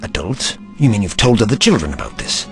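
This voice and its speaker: An actor playing an eccentric, kindly and curious investigator in an audio drama, pensive and courtly in manner. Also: male voice, fairly low pitch, very animated, brisk, faint music behind. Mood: intrigued.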